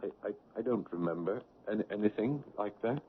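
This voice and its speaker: An actor in a 1970s radio drama playing a bewildered, anguished man, halting and stammering as he speaks. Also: on the low side, animated, natural voice. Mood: bewildered, fearful. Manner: halting, stammering, repeating words. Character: anguished, haunted man newly dead, bewildered and lonely. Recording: noisy, narrow-band 1970s radio recording.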